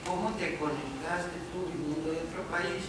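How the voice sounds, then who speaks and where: A man's voice: on the high side, fairly even intonation. Guy in his 50s asking a panel something, hesitant, far from the mic in a very echoey hall. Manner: polite, halting questions. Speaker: audience member asking questions. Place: festival screening hall. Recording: echoey hall, distant mic, faint noise.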